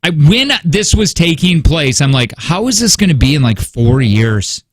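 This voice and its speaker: A man's voice: a little high, big pitch swings, moderate pace. A male radio host in casual studio chat, rambling with many restarts and second-guessing himself. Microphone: studio mic.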